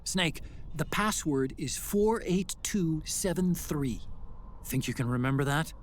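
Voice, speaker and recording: A fairly high-pitched American male tech expert from a video game, chatty and helpful. He explains things in a friendly way with a light teasing edge, over some background noise. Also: expressive, a little slow.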